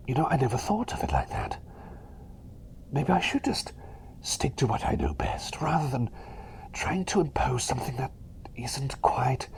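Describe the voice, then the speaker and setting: A man playing a cheery, eccentric, gentle plague doctor in a whispered, rambling and soothing ASMR roleplay. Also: mid-range voice, vocal fry, full character voice.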